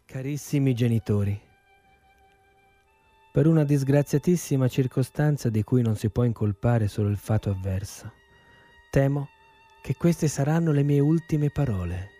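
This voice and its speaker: An actor plays a young man condemned to die, his voice very dark and soft-edged. He reads a farewell letter in a solemn, tender, measured theatrical style, with faint music underneath. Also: medium pitch, no echo, lightly acted. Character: young condemned male prisoner writing farewell to his parents. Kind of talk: dramatic reading of a farewell letter.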